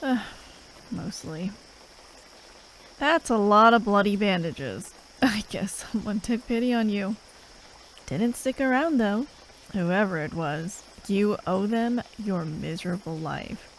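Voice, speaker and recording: A woman playing a gruff, impatient but grudgingly caring fantasy assassin in an ASMR roleplay, sarcastic and sweary as she talks to the listener. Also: medium pitch, slow, long pauses, a little hiss or hum, low-quality recording. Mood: wry relief. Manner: sarcastic, drawling, sweary, with sighs.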